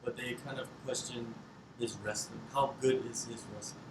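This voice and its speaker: A man's voice: mid-range voice, steady tone, moderate pace. A man asking casual interview questions, building them up in short phrases. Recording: echoey room with clear background noise.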